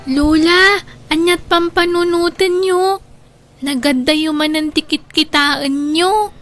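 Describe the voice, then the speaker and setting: A woman playing a young granddaughter in a radio drama, plaintive and yearning in her drawn-out lines. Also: very high voice, steady tone, fairly bright tone, soft background music, exaggerated voice. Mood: plaintive, concerned.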